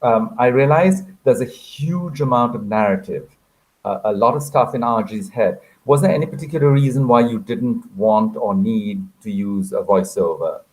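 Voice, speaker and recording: Male moderator, slightly low voice, conversational interviewing delivery. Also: normal speed.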